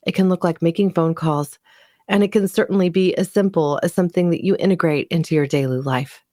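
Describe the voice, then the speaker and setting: A woman's voice: on the low side, darkish tone, no room sound, studio quality. A woman hosting a podcast, inviting and emphatic as she introduces her guest. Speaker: podcast host.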